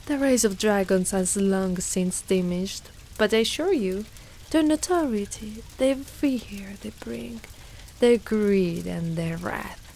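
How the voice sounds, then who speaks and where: A woman's voice: mid-range voice, darkish tone, a little hiss or hum, low-quality recording. A woman playing a devoted, fierce dragon knight in a dramatic ASMR roleplay, speaking in formal, archaic phrases with theatrical weight.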